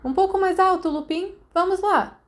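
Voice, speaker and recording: A woman voicing a stern silver-haired witch who teaches flying, loudly barking brisk orders in an audiobook. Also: very high voice, voice pushed up. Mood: loud urging. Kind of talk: audiobook character voice.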